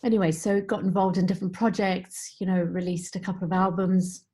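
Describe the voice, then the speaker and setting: A woman's voice: on the low side, level tone, brisk, dark tone. Reflective woman musician telling her story. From Zimbabwe. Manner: reflective, storytelling, frequent "you know". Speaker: guest musician. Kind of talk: conversational interview.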